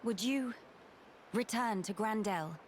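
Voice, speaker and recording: An actress playing a composed, stern noblewoman in a video game cutscene, speaking in a formal, measured, authoritative way. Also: a little high, faint music behind. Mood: businesslike, firm. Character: composed, stern noblewoman urging a veteran to act.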